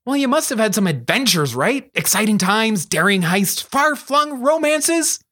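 Man voicing an excitable, high-pitched schoolgirl. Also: unhurried, heavily acted. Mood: let down, hopeful. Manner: breathless, demanding, dramatic. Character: excitable, bossy young schoolgirl who loves adventure stories.

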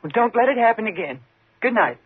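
A woman's voice: fairly high pitch, sing-song, brisk, narrow, tinny audio, a little hiss or hum. An actress playing a frightened single woman in a 1950s radio suspense drama, tense and dramatic in her delivery. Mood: indignant, shaken. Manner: tense, dramatic, faltering when flustered.

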